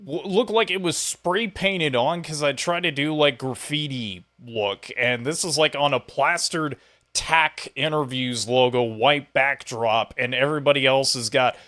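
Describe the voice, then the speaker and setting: A man hosts a casual interview in a very bright, forward voice. His pitch sits in an ordinary middle range, and he talks in a conversational way. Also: a little slow. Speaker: interviewer, host.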